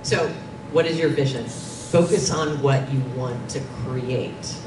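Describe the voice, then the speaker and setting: Female panel presenter, middle-aged, conversational and halting, roomy sound with clear background noise. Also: a bass voice. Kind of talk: informal conference presentation. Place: dim conference meeting room. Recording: distant, echoey, noisy room recording.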